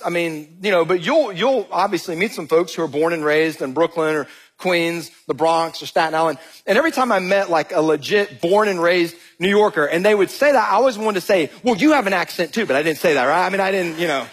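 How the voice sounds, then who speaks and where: Southern guy from georgia preaching, kinda high voice, bit husky, jokey storyteller pastor. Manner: animated, jokey storytelling, addresses crowd as y'all. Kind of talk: sermon with storytelling.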